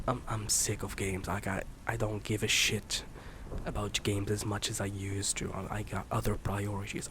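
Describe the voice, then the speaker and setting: A man in his late twenties, a streamer rambling in a soft-spoken, candid way, swearing casually and slipping into whispers. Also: lowish voice, noisy background. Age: 20s. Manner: candid, swears casually, many fillers and restarts; drifts between soft speech and whisper.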